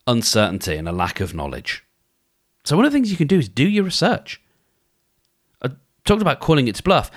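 A man's voice: medium pitch, fairly bright tone. A man hosting a solo advice podcast, thinking aloud in a conversational way and often restarting his sentences. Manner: conversational, thinks aloud, acts out inner dialogues; frequent restarts and filler phrases. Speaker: podcast host.

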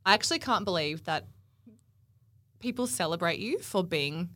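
A woman nearing thirty, a little husky today. Her fairly low voice moves lively up and down as she chats playfully as a podcast guest. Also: moderate pace. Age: 20s. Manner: chatty and playful. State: a bit husky. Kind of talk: casual chat.

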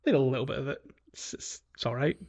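Male podcast co-host, average pitch, informal and a little hesitant, casual gaming talk. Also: fast, a little mellow. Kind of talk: casual video game chat.

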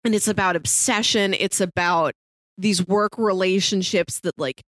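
A woman speaks as a podcast co-host, recommending things in a casual, conversational style. She is earnest and enthusiastic, building points in emphatic lists. Her pitch is average and the sound is dry and close. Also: moderate pace, fairly bright tone, no room sound. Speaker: podcast co-host reviewing shows.

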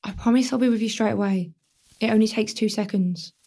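A woman's voice: medium pitch, fairly quick, mellow, lightly acted. A woman with a British accent playing a brash, easily distracted teenage girl in a naturalistic radio play, blunt and colloquial. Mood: impatient.

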